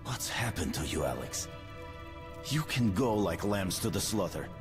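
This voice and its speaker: Real low gruff soldier-hunter type, grim n commanding, game cutscene w loud music. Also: male voice, very animated, unhurried. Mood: defiant. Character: grim, battle-hardened male ranger who hunts mutants. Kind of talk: dramatic video game acting.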